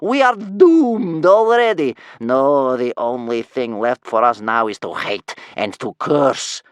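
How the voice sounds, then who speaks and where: A man voicing a scary, furious old grandmother with a rasping, stern, doom-filled delivery for a storybook. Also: medium pitch, animated, slow pace, no echo, pitched above the performer's own voice. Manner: stern, venomous, loud declamation.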